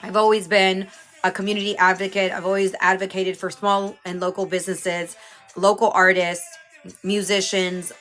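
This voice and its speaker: A woman's voice: mid-range voice, level tone, normal speed, fairly bright tone, soft background music. A woman from Houston, chatting casually as a livestream host, greeting viewers by name and sounding a little stuffy from a cold. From Houston, Texas.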